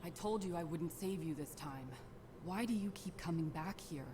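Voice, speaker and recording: A woman plays a guarded warrior who lives alone, in an animated tale. She speaks low, in terse and reserved phrases. There is clear background noise around the voice. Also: normal speed, lightly acted. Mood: stern, guarded. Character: guarded lone warrior woman, weary protector of villagers. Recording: noisy ambience behind the voice.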